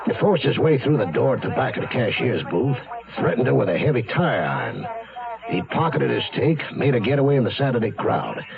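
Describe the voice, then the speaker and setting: Gravelly county sheriff, animated delivery. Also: male voice, mid-range voice, muffled recording. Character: brisk, grim county sheriff briefing a newsman. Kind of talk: 1970s radio drama acting.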